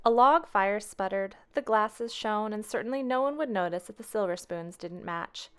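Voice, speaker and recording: A woman storyteller reading a children's tale aloud with expressive narration. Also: medium pitch, normal speed, smooth.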